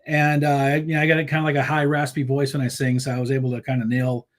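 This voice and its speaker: A man talking casually as a musician guest, rambling through stories with plenty of fillers and restarts. He laughs easily and tells his tales in an animated way, his voice sitting in a middle pitch. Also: quick pace. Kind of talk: casual interview chat.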